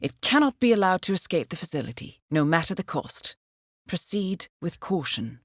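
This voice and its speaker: An actress playing a composed, coolly professional intelligence handler who guides an assassin in a video game, measured and dryly wry. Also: female voice, on the low side, big pitch swings, no echo, studio quality. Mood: serious, briefing. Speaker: mission handler. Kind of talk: scripted video game mission briefing.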